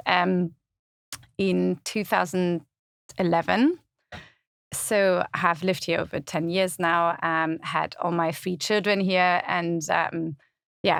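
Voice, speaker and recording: German-accented woman, low gravelly voice, relaxed interview guest, dry studio mic. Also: dry recording. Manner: thoughtful, many fillers and restarts. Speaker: podcast guest, community website founder. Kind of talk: casual interview chat. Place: podcast studio.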